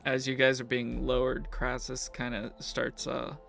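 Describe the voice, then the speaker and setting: A man with a gravelly edge and a middle-range voice narrates a tabletop game as its game master. He improvises in a halting way over faint background music. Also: medium pitch, completely flat delivery, a bit bright.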